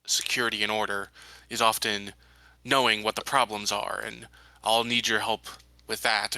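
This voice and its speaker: A man playing a guarded, authoritative colony security chief in a tabletop roleplay, talking in a measured, careful, official way. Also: on the low side, pushed and bright, clean tone, dry recording, rough recording. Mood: confiding, firm. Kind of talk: improvised tabletop roleplay dialogue.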